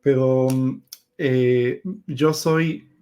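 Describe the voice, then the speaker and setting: A man in his thirties from Israel, whose first language is Hebrew, telling his personal story in Spanish slowly and hesitantly with many fillers. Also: mid-range voice, fairly even intonation, warm tone, smooth. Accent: Israeli, Argentine-influenced Spanish. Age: 30s. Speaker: guest giving a testimony. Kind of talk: personal testimony.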